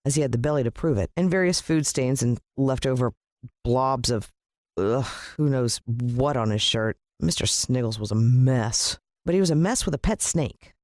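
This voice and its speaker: A woman with an American accent giving a dramatic, expressive scripted narration of a children's story. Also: rumbling low, normal speed, no room sound, clean studio sound. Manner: dramatic, playing a child's first-person point of view.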